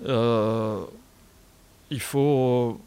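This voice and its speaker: A man's voice: mid-range voice, steady tone, drawn-out words, dark tone, smooth. A man speaking as a guest author in a radio interview, answering in a reflective, hesitant way with trailing phrases. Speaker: guest author and small publisher.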